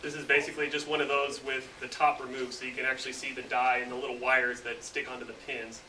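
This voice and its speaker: A man's voice: mid-range voice, fast. A man, an engineer, casually explaining his work to students in a classroom, with many filler words. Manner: relaxed, lots of "you know" fillers. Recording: roomy distant mic with noise.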